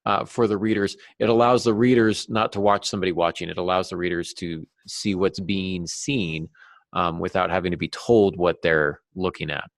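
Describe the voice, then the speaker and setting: A man speaking as a guest novelist in a podcast discussion, explaining craft deliberately and with examples. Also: deep voice, little pitch movement, no room sound.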